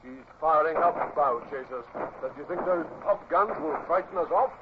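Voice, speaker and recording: A cocky naval officer at the guns, played by a male actor, jeering and defiant in old radio drama style. The voice sits fairly high. The recording is thin and phone-like, with loud noise. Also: moderate pace, lightly acted. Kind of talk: mid-century radio drama acting.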